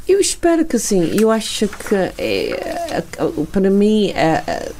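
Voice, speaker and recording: A middle-aged woman with a foreign accent, a non-native speaker, answering in a casual interview, haltingly searching for words. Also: medium pitch, wildly swooping pitch, dark tone, slight background noise. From spent early childhood in Beirut. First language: not Portuguese. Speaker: interview guest, wine estate owner.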